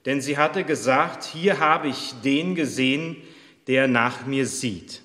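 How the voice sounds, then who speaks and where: Male pastor, solemn measured reading, slow delivery, long reverberant church hall. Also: medium pitch, pushed and bright. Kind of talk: church service prayer and scripture reading.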